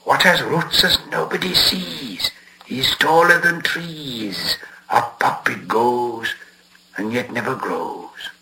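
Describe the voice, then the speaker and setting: A man's voice: mid-range voice, slow, a crowd in the background, lightly acted, low-quality recording. A man voicing a slimy, sly cave creature who hisses and whispers, wheedling and talking to himself in broken grammar. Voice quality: whispering, hissing.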